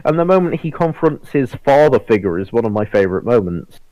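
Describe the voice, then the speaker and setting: Male podcast panelist, mid-pitched, enthusiastic and conversational, circling back over his phrases, very dry recording. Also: very animated, a little fast, dark tone, no room sound, lo-fi sound. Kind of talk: casual group discussion.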